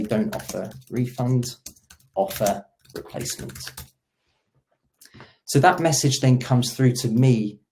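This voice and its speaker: Guy walking thru a software demo, chatty and explanatory, mellow relaxed voice. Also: medium pitch, low-quality recording. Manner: explanatory, role-plays agent dialogue. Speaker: product demo presenter. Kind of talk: software demo walkthrough, conversational.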